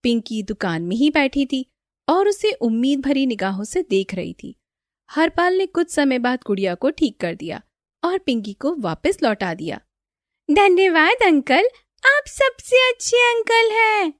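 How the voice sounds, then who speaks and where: A woman's voice: fairly high pitch, sing-song, normal speed, a little mellow, no echo, clean studio sound. A woman storyteller reading a scripted children's moral story as narrator.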